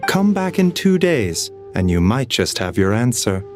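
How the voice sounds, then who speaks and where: Casting: a gentle, measured doctor, lilting delivery, faint game music behind. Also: male voice, medium pitch, sing-song. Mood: calm. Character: soft-spoken male physician, calm and mysterious. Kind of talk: video game voice acting.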